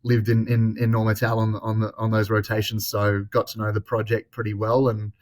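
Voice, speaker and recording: Australian man with a flat, low voice. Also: a little fast. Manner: relaxed and friendly, with some filler words and restarts. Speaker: exploration vice president of a mining company. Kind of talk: investor interview, casual explanation.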